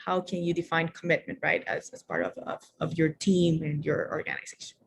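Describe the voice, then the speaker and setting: A woman's voice: deep voice, very animated, fairly quick, vocal fry. A woman from Costa Rica introducing herself on a video call, hesitant and repeating words. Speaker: workshop participant.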